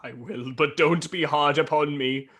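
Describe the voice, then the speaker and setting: A frightened old miser played by a male actor speaks in a slightly high voice. He is hesitant and pleading, with a grudging, wry edge, in theatrical radio play style. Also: a little slow, a bit bright. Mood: nervous, reluctant.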